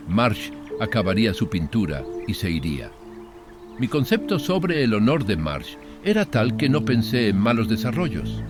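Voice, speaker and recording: Expressive male horror narrator over music. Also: lowish voice. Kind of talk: scripted horror story narration. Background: background music.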